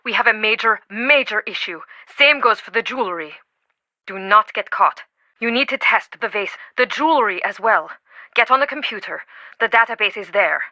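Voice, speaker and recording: Sharp, high-voiced stern boss. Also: female voice, no echo. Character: stern, exacting, impatient female boss. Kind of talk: video game voice acting.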